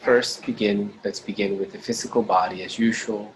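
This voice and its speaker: A man with a fairly low voice guides a meditation over noticeable background noise. He speaks slowly and deliberately in short phrases with pauses between them. His delivery is gentle and instructional. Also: fairly even intonation, poor audio. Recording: clearly audible background noise.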